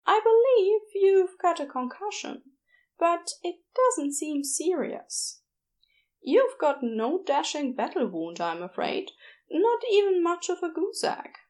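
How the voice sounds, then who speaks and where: A woman voicing a kindly, chatty male nurse in an audiobook, with a soothing, fussy and gently joking manner. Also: very high voice, big pitch swings, takes it slowly, voice pushed up, exaggerated voice. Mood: reassuring. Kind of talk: expressive audiobook character voice.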